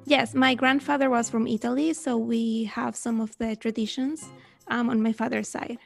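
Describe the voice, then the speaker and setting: Guest: young woman, gravelly voice, keen storyteller, faint music behind. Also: a little high, level tone, moderate pace. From Venezuela. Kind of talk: casual interview chat.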